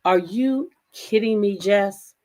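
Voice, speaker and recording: A woman's voice: medium pitch, takes it slowly, darkish tone. A woman hosting a casual live reaction stream, talking in a blunt, profane and emphatic way. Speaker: livestream host.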